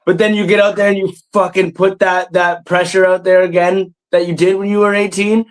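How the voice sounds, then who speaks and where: A man's voice: high-pitched, flat delivery, brisk. A man in his thirties hosting a comedy podcast, talking loosely, laughing and swearing, with lots of fillers. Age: 30s. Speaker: podcast host. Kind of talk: casual comedy podcast chat.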